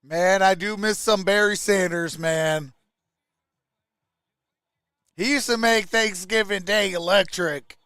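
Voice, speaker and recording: Male fan streamer, high clean voice, dry sound, relaxed informal play calls and chat. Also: slow, pauses often, clear, resonant tone, no room sound. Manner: informal, greets viewers by name. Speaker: live-stream sports host.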